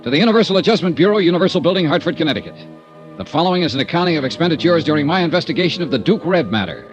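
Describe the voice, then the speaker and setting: A man's voice: on the high side, animated, very fast, over music, close to an everyday voice. An actor playing a confident, dry male insurance investigator who also narrates, in a 1950s radio drama. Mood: probing. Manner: dry, probing, understated.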